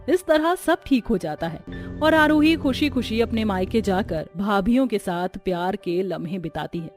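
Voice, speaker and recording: A woman narrator reading a scripted cartoon family story. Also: medium pitch.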